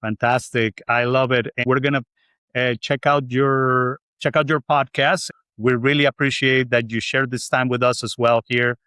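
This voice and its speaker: A middle-aged man from Colombia hosts a business podcast, his voice sitting at an ordinary middle pitch. He talks in long, winding runs, full of fillers and restarts. The sound is dry and close, with no room echo. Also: level tone, moderate pace, bright, forceful tone, clean tone. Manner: rambling, restarts, many fillers. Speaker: podcast host. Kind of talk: interview conversation.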